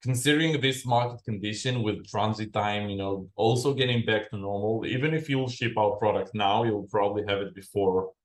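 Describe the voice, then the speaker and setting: A man who founded a freight company, explaining shipping trends as a podcast guest, unhurried and full of fillers and restarts. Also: lowish voice. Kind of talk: explanatory business interview. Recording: roomy echo.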